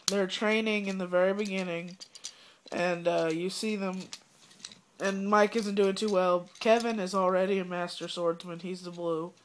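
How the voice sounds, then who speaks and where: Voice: medium pitch, a little hiss or hum. A young fan who is sick and coughing, giving a rambling, disappointed casual review full of fillers.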